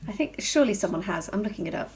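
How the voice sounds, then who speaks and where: Woman, casual livestream chat, mid pitch, talkative, laughs often. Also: lively intonation, a little mellow. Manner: chatty, quick, laughs often. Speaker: author guest.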